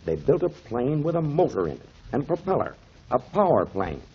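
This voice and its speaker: Man acting a kindly, animated old-time radio storyteller. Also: medium pitch, normal speed, slight background noise, natural voice. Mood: earnest storytelling. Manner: avuncular, explanatory, emphatic. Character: kindly grown man, storytelling mentor to children, pipe smoker. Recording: muffled 1930s radio recording.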